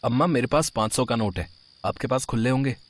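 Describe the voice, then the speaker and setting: A man's voice: medium pitch, brisk, close to an everyday voice. A man voicing a breezy young fellow in a storybook tale, informal and chummy.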